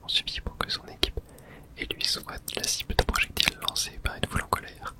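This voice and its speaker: Asmr gamer whispering the whole time, narrating what they do in the game and reading stuff out. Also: brisk. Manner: whispers throughout, reads game texts aloud. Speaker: host playing and narrating a game. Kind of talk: whispered ASMR video game let's play.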